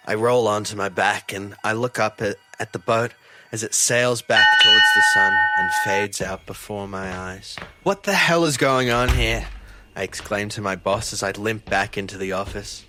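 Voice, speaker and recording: A man's voice: lowish voice, normal speed, lightly acted. A man playing an earnest, bewildered fisheries officer who narrates his own story in an audio drama. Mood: tense, recounting, then urgent, bewildered.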